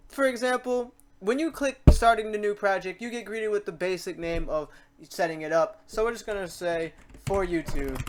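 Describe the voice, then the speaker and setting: A man with a distinctly high-pitched voice hosts an off-the-cuff software walkthrough. He is informal and chatty, rambling along and doubling back on his words as he explains things. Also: background noise. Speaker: host of a software tutorial series. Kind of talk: casual screen-recorded software tutorial, talking off the cuff. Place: voice-over to a screen recording.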